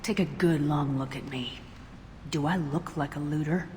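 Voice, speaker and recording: Game heroine female actor: determined, intimidating soldier, deep female register, no-nonsense, slight noise and hall reverb. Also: normal speed, darkish tone, natural voice. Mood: threatening. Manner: firm, direct, no-nonsense.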